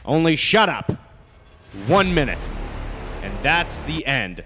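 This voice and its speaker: A man's voice: on the high side, big pitch swings, slow pace, fairly bright tone, soft background music, exaggerated voice. A man playing a stern, exasperated father of two in an old-time radio drama, speaking emphatically.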